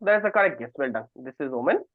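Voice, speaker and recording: A man's voice: mid-range voice, animated, fast talker, no room sound. A man reading quiz questions aloud as quizmaster and responding to contestants. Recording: narrow phone-like call audio.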